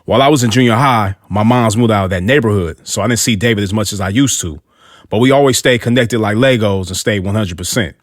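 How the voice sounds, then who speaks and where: A man's voice: lowish voice, fast, dry recording, studio quality. A man narrating a scripted motivational story in an earnest way, with hip-hop street slang.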